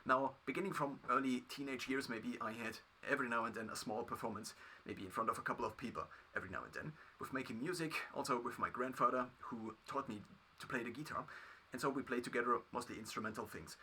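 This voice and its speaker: A man with a German accent, a native German speaker, talking through his past as a video creator in a halting solo monologue full of restarts. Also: mid-range voice, sharp tone, a little hiss or hum. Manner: halting, many restarts and fillers.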